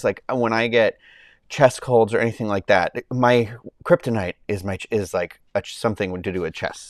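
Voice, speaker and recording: A man's voice: medium pitch, no room sound, studio quality. A man hosting a casual live stream, nasal and congested from a summer cold, rambling with lots of ums and restarts. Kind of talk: casual chat with live chat viewers. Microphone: clip-on mic under his shirt.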